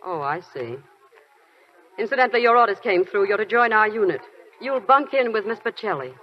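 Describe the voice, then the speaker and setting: An actress playing a kind, coaxing head nurse in charge of a sick bay, in a 1940s radio drama. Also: female voice, mid-range voice, animated, brisk, natural voice. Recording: dull 1940s radio broadcast.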